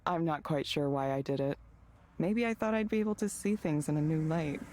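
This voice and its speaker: A woman's voice: low voice, expressive, a little fast, darkish tone. An actress playing a reserved, subdued woman in a visual novel game, speaking hesitantly and naturalistically. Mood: sheepish. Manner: hesitant, reticent. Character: reserved, subdued woman working as a proxy counselor.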